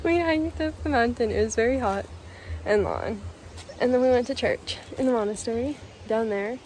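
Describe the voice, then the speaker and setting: A woman's voice: fairly high pitch, moderate pace, background noise. A young woman chatting upbeat into a phone held at arm's length for a travel vlog. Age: young adult. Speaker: vlogger. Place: car and outdoors on a trip.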